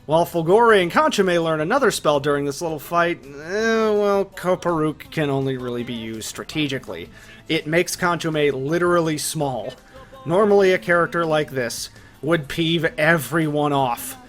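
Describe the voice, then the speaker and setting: A man's voice: fairly high pitch, animated, normal speed, fairly bright tone. A man narrating a scripted video essay as its host, in a casual, conversational way with colloquial asides. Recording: music behind the voice. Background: music.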